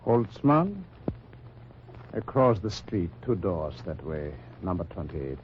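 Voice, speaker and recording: A guarded German resistance man in an old radio drama, German accent and clipped, wary speech. His low voice rises and falls widely. The recording is dull and lo-fi with a faint hiss. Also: very animated, slow pace, exaggerated voice. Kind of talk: 1940s radio acting.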